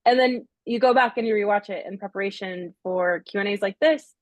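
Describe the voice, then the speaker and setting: A woman's voice: medium pitch, fairly quick, no echo, dull audio. Chatty woman moderating, dry sound. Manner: chatty, lots of "like" and "right?". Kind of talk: casual moderating.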